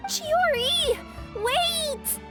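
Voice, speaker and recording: Very high-pitched, earnest young girl courier. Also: drawn-out words, full character voice. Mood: alarmed. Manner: hesitant, polite, soft-hearted. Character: young cat-girl courier, gentle, earnest, anxious for her friend. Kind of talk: video game voice acting. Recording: game music behind the voice.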